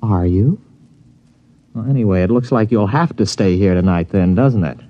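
A man's voice: lowish voice, normal speed, lightly acted. An actor playing a polite, easily exasperated American city man who stammers his protests, in old radio comedy style. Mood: exasperated, resigned. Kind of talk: 1940s-50s radio comedy acting. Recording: dull old radio recording.

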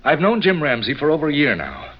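A frightened, earnest young man in a vintage radio crime drama. He is agitated and melodramatic, with wide swings in pitch. The sound is dull, as on an old broadcast. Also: huge pitch swings, fast. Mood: earnest, troubled. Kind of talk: 1940s radio drama acting. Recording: muffled old radio recording.

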